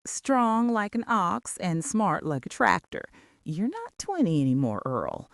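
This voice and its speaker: A woman's voice: medium pitch, huge pitch swings, measured pace, vocal fry, dry recording, studio quality, heavily acted. A woman playing a firm older wife who scolds, teases and coaxes in a comic radio skit. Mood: coaxing, teasing. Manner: scolding yet coaxing. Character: older wife, firm, coaxing, gently teasing.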